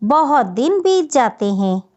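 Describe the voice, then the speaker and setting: Slow, high-voiced woman storyteller. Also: very animated, darkish tone. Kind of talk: scripted children's story narration. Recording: dull, muffled lo-fi audio.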